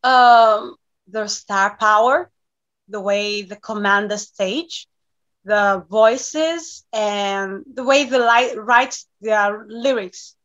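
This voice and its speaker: A woman's voice: mid-range voice, very slow. A young woman from Greece, a singer-songwriter guest, talking casually and expressively with frequent laughs over a remote video call. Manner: bubbly, laughs often, expressive. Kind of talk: casual interview. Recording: slightly dull lo-fi video call.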